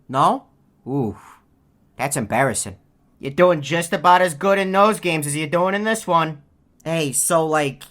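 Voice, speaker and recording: A low-pitched woman acting as a snarky game bird. The delivery is deadpan and sarcastic, full of mockery. This lazy, greedy heckler taunts like a bored cartoon sidekick. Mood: dry sarcasm. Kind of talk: scripted video game character taunts.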